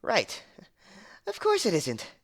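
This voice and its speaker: A man's voice: sits high, huge pitch swings, slow, vocal fry, fairly bright tone, voice pushed up, full character voice. A man voicing a brash, jumpy young female pony heroine in an audiobook, recorded on a noisy amateur headset. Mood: angry, rattled.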